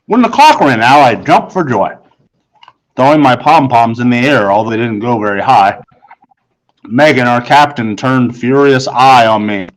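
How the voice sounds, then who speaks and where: A man's voice: mid-range voice, lively intonation, moderate pace, rough recording. A man narrating a first-person audiobook story in a formal, precisely worded manner. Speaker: audiobook reader.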